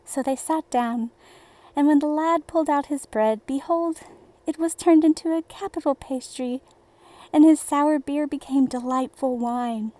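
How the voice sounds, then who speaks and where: A woman reading a children's bedtime fairy tale in a deliberate, theatrical storybook manner. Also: high voice, dark tone, no room sound.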